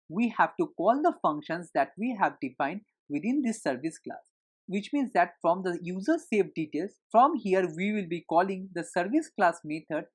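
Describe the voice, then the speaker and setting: A woman's voice: mid-range voice, a little mellow, breathy edge. A woman narrating a screencast tutorial as an instructor, explaining things step by step in an instructional way.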